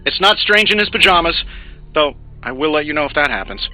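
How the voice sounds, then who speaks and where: An actor playing a chatty, joking young hero in a video game, playful and casual. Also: male voice, a little high, lively intonation, fairly quick, pushed and bright, faint music behind, rough recording. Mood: teasing, urgent. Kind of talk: video game character dialogue.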